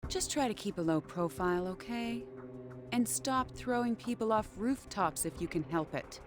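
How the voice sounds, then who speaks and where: A woman's voice: medium pitch, lively intonation, normal speed, over music, close to an everyday voice. An actress voicing a young woman with an American accent, a hero's teasing but concerned confidante in a video game.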